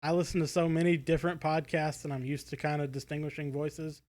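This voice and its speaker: A man's voice: on the high side, little pitch movement, brisk, a little mellow. An American man co-hosting a podcast in casual discussion, feeling his way through his thoughts in hesitant, circling phrases. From United States. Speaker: podcast co-host.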